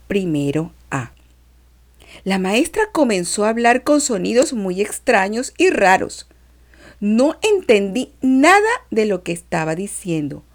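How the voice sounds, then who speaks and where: Brief: a woman playing a sweet six-year-old schoolgirl, slow, very animated storybook reading. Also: mid-range voice, exaggerated voice. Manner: slow, sing-song, very expressive. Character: cheerful six-year-old girl telling her dream. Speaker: reader of a children's lesson.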